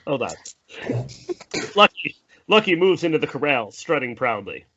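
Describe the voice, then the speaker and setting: A man's voice: a little high, very animated, moderate pace, bright, forceful tone. Man narrating a screenplay, slightly dull sound. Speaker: stage-direction narrator. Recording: dull audio, faint noise and clicks.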